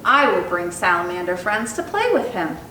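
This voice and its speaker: A woman's voice: sing-song, moderate pace, pushed and bright. Echoey, eager young storybook boy read by a woman. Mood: eager, earnest. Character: young boy, eager, earnest, imaginative salamander lover. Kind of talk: children's storybook reading. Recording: echoey room, distant mic, faint rumbling noise.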